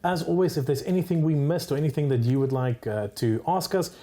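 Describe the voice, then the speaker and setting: Male co-host, 30s, warm dark tone, easygoing delivery. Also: fairly high pitch, animated, quick pace. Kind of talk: casual tech chat. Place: studio set with a table. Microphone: boom mic on an arm.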